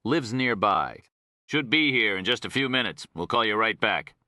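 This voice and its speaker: A man's voice: lowish voice, expressive, moderate pace, sharp tone, no room sound, clean studio sound. A man voicing a polite, helpful Texan prison warden with a drawl in an audiobook. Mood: eager, helpful. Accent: Texas.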